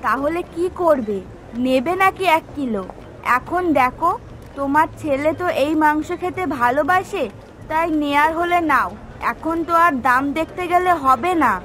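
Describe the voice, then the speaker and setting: A woman's voice: high-pitched, moderate pace, fairly bright tone, a little hiss or hum. A woman voicing an eager, dutiful young daughter-in-law in a cartoon, with a chatty, practical, coaxing manner. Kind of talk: cartoon story dialogue.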